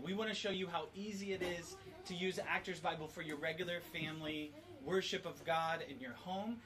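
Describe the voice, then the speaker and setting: A man's voice: a little high, fairly bright tone. A man hosting from home, informally explaining things step by step in a casual walk-through. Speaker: host of a family worship demo. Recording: noisy home recording.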